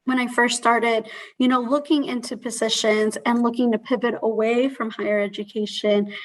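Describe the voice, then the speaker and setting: A woman in her thirties speaking as a panelist on a video call, earnest and measured, talking through her career in a conversational way. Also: fairly high pitch, low-quality recording.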